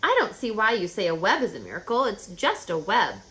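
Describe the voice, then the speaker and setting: A woman's voice: medium pitch, wildly swooping pitch, brisk, bright, forceful tone. A woman voicing an anxious, stern and proper mother in a storybook reading, with a fretful, scolding manner. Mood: nervous, puzzled. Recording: echoey room, faint background noise.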